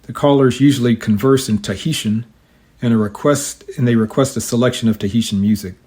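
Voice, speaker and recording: A middle-aged or older man talking informally and explaining things in a measured way, as a host and radio hobbyist, sometimes reading aloud. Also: mid-range voice, darkish tone. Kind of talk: casual explanatory talk with some reading aloud.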